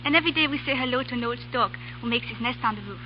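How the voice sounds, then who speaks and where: A woman's voice: a little high, very fast, lightly acted. An actress playing a young girl in love who pleads in broken English, in a 1930s radio drama. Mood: dreamy. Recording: muffled old radio broadcast with hiss.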